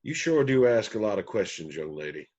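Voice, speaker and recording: A man playing a low-voiced, laconic older country bandleader. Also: very animated, a little fast, darkish tone, muffled recording. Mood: guarded. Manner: laconic, folksy, wistful. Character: weathered, wry, guarded older male country bandleader. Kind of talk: screenplay table read.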